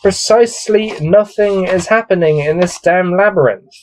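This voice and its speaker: A man's voice: high-pitched, moderate pace, darkish tone, slight background noise, low-quality recording. A man hosting a gamebook read-through, mixing read-aloud narration with casual, bored and exasperated commentary. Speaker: host reading a gamebook aloud.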